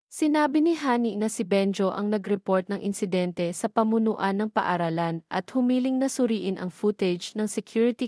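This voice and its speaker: A woman's voice: mid-range voice, darkish tone, no echo, studio quality. A woman with a Filipino accent reads a scripted love story as an audio drama narrator.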